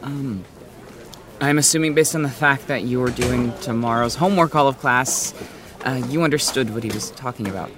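A man playing a sweet, bashful high school boy in podcast drama, hesitant and stammering. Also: normal speed, close to an everyday voice. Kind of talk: naturalistic fiction podcast acting. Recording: clearly noisy background.

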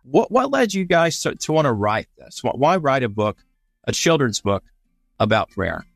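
A man's voice: mid-range voice, big pitch swings, normal speed, creaky, dry recording, faint music behind. A man working as an interviewer, chatting informally and appreciatively as he comments and asks questions. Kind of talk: conversational interview questions and remarks.

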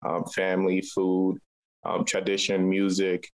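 A man's voice: low-pitched, monotone, a little slow, low-quality recording. A man from Liberia, a local artist, answering interview questions in a conversational way. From Liberia, West Africa.

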